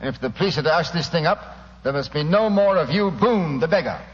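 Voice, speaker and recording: An actor playing a firm, respectful, plain-spoken police inspector in a 1940s radio drama. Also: male voice, a little high, lively intonation, a little fast, a little hiss or hum, natural voice. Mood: stern. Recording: muffled old radio recording with long reverb.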